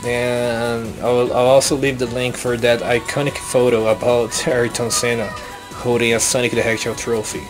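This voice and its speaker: Young Brazilian man, Brazilian Portuguese accent, halting and earnest, casual home-made vlog. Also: medium pitch, little pitch movement, music in the background. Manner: halting, many restarts and self-corrections, earnest. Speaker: YouTuber.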